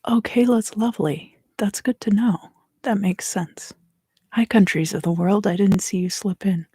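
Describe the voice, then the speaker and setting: A woman giving a soft-spoken, partly whispered ASMR tarot reading in a breathy, gentle, unhurried way, pausing between short phrases close to the microphone. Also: mid-range voice, no echo. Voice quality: breathy, hushed. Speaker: tarot reader hosting an ASMR video.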